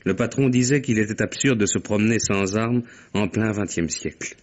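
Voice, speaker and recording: Man acting low-voiced, self-assured private secretary. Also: moderate pace, highs cut off, lightly acted. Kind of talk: mid-century French radio mystery acting.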